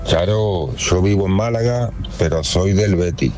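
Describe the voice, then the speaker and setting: Very deep-voiced man calling in. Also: measured pace, over music. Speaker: caller, football fan. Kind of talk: phone call to a radio show.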